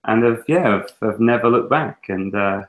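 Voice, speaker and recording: A man's voice: on the low side, moderate pace. English man chatting on a video call. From England. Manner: chatty, lots of fillers and restarts. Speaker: guest teacher and author. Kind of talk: casual webinar interview. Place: small room at home. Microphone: earphone headset on a video call.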